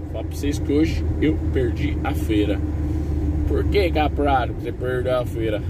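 A man chatting casually with his viewers while driving, throwing in playful slang and dramatic sighs. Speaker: vlogger driving for work. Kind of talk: casual driving vlog chat. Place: inside a car on a rainy city street. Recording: loud road and rain rumble.

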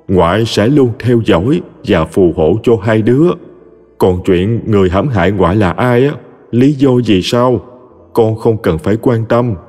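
A man voicing the tender ghost of an old grandmother, speaking softly and consolingly. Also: fairly low pitch, long reverb tail. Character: gentle ghostly old grandmother, loving and calm.

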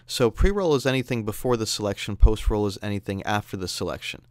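Clear, dry-sounding male tutorial narrator. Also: lowish voice, lively intonation, quick pace, no echo. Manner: explanatory, conversational. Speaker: audio software instructor. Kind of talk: instructional screencast narration.

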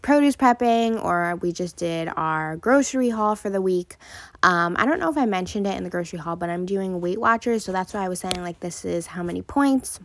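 A woman's voice: lowish voice, no room sound. A woman vlogger giving a casual, chatty voice-over introduction.

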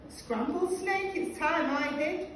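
A woman's voice: very high voice, takes it slowly, pitched above the performer's own voice. A woman voicing a hungry, easily frightened male snake with exaggerated questions in a storybook. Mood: alarmed. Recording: roomy, distant classroom recording, faint noise.

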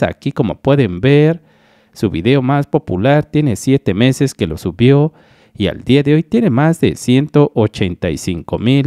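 A man from Mexico hosting a screen-recorded voice-over, walking through a creator's earnings in a lively, explanatory way and often addressing his dear friends. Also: medium pitch, no room sound, clean studio sound. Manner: lively explanatory, repeats "mis queridos amigos". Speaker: YouTube channel analyst host.

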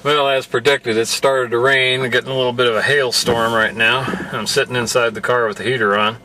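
A man calmly narrating shooting tests at an outdoor range, in a matter-of-fact, plain delivery. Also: medium pitch, brisk. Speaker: channel host. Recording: noisy outdoor recording.

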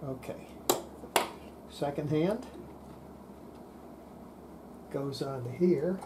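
An older man giving an unscripted hands-on repair demonstration, halting and muttering to himself as he works at a bench. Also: fairly high pitch, very noisy. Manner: halting, muttering to self while working. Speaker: radio repair hobbyist, presenter. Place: indoor workbench.

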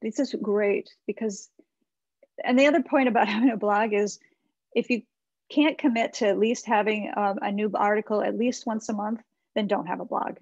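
A woman's voice: medium pitch, fairly even intonation, moderate pace, darkish tone, no room sound. A middle-aged American woman giving informal, encouraging advice as a webinar presenter, thinking aloud in a conversational way over a video call. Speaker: webinar presenter, website marketing consultant. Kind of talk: informal website critique and advice. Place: small indoor room with bookshelves. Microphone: computer mic on a video call. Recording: slightly dull video-call audio.

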